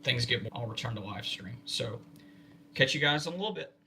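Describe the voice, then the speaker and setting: An American man giving casual livestream commentary as he logs weather warnings, halting and thinking aloud while he works. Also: medium pitch, expressive, vocal fry, pushed and bright. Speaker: livestreamer spotting severe weather. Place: indoor room with computer and radio equipment. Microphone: phone set in a fixed spot. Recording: noisy amateur livestream with room hiss.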